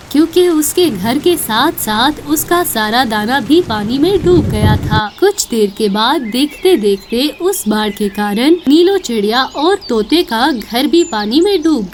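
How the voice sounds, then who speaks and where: A woman's voice: sits high, a little hiss or hum. A woman reading scripted narration for a children's story.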